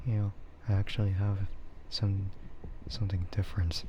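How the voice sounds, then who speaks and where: A man chatting softly in a casual ASMR stream, often slipping toward a whisper, with a rambling, hesitant delivery. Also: fairly low pitch, flat delivery, moderate pace, noisy background. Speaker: VTuber streamer. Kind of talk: soft ASMR casual chat.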